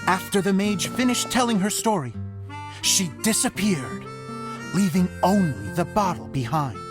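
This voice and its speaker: A woman voicing a theatrical storyteller and show director in a video game, narrating like a storybook in a measured, expressive way. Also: on the low side, over music. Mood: storytelling.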